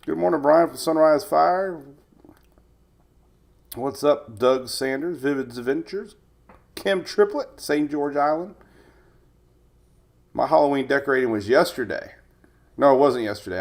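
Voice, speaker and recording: A man's voice: mid-range voice, a little slow, gravelly, long pauses. A man hosting a casual live weather stream, chatty, rambling and jokey, sounding slightly stuffed up with a cold as he greets viewers.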